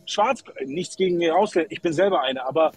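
A man's voice: on the high side, fast talker, slight background noise, low-quality recording. A man from Kosovo calling into a livestream, chatting in an opinionated, colloquial way and repeating himself for emphasis. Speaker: live call-in guest. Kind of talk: casual opinionated chat.

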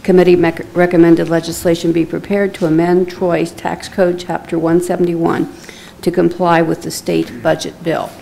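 A woman's voice: fairly low pitch, flat delivery, normal speed, gravelly, warm tone, slight background noise, low-quality recording. A woman clerk reading ordinances and meeting minutes aloud in a formal, matter-of-fact way. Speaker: council clerk. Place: council chamber.

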